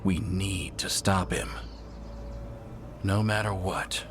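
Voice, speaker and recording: An actor playing a grim, resolute veteran elven ranger in a fantasy game, terse and gruff. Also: male voice, low voice, flat delivery, slow. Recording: clear background ambience noise.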